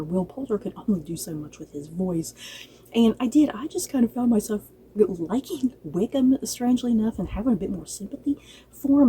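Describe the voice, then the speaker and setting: A woman's voice: medium pitch, dark tone, a little hiss or hum, low-quality recording. A woman giving a casual, chatty review, speaking in a gushing, emphatic and informal way. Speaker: book and drama reviewer.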